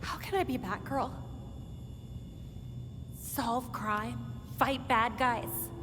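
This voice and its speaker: An earnest young heroine for a game cutscene, full of self-doubt. Her voice sits high, in a long reverb with music behind it. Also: steady tone, extremely slow. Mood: troubled, self-doubting. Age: young adult. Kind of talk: video game cutscene acting.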